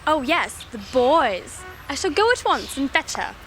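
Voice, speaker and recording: An actress playing a spirited, teasing teenage sister in an audio drama, with theatrical, mock-formal diction. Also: female voice, high voice, very animated, a little slow, a bit bright, soft background music, exaggerated voice.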